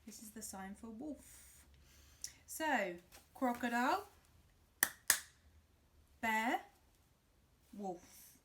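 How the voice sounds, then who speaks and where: Slow, higher-pitched woman storyteller for children. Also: background noise. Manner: expressive, simple, demonstrative phrasing. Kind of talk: interactive picture-book reading for young children.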